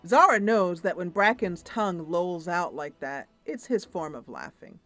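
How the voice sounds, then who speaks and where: A woman tells an improvised fantasy story as a game streamer. Her delivery is descriptive and expressive, with animated intonation. Faint music plays behind her. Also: lowish voice, measured pace.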